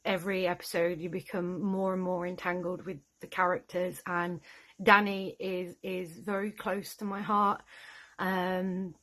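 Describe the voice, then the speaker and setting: A woman with a slightly low voice speaks off the cuff as a voice actor thanking people. Her delivery is earnest and hesitant. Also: little pitch movement, unhurried, fairly bright tone. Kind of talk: unscripted personal thank-you message.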